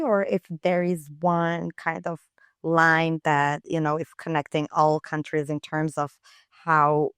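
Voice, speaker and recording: A woman's voice: deep voice, clean tone, dry recording, clean studio sound. A woman hosting a podcast interview, asking long questions and rephrasing as she goes. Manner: searching, rephrasing questions with fillers.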